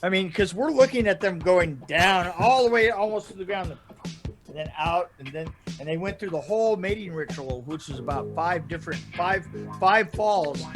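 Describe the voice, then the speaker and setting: An older man telling anecdotes casually in a rambling, halting way, chuckling as he goes. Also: high voice, over music. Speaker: podcast storyteller guest. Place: indoor room on a video call.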